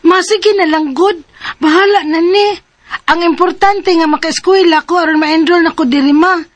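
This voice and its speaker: A woman's voice: very high-pitched, fairly even intonation, normal speed, dry recording. An actress playing an earnest fifteen-year-old girl who pleads, in a radio drama. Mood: eager, insistent. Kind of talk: radio drama acting and narration. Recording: radio drama with music behind.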